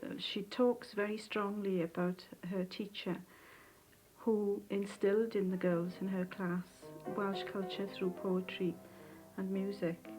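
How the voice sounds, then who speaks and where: A woman in an interview, talking thoughtfully and softly about family memories, her voice sometimes dropping low. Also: level tone, measured pace, soft background music, rough recording. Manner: soft, thoughtful, sometimes drops near a whisper. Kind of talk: reflective interview recollection.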